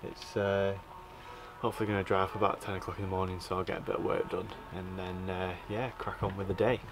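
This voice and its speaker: A man's voice: low voice, fast, background noise. A man, chatting casually to a handheld camera from a campervan and the outdoors. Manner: relaxed, chatty, with frequent "uh" and "um". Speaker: vlogger. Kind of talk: casual travel vlog to camera. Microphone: handheld camera at arm's length.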